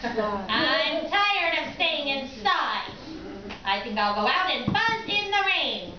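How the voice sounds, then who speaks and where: A high, unhurried little bee played by a woman, cheerful and sing-song, made for a kids' puppet show. The sound is echoey, with noise behind it. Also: big pitch swings, heavily acted. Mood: restless. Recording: echoey room, muffled, noisy background.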